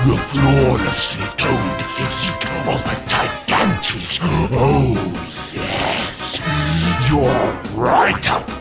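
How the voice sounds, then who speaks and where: An actor playing a huge, boastful, lovesick monster warlord in a video game, pompous and theatrical, with a gravelly, gushing delivery. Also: male voice, mid-range voice, huge pitch swings, very slow, loud music behind the voice, exaggerated voice. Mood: smitten, gushing.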